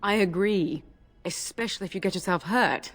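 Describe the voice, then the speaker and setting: Casting: woman as wry, reproving young lady of a castle, mid-pitched, fantasy game scene over music. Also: moderate pace, natural voice. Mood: chiding. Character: dry-witted, scolding young noble wife and mother. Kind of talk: video game voice acting.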